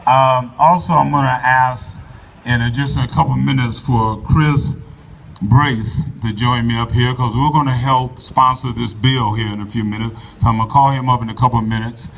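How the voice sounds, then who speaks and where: A man giving an earnest, folksy address to a crowd at an outdoor rally in a park, speaking loudly into a microphone and urging people to come closer. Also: medium pitch, steady tone, normal speed, vocal fry. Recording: voice from far off the mic, faint background noise.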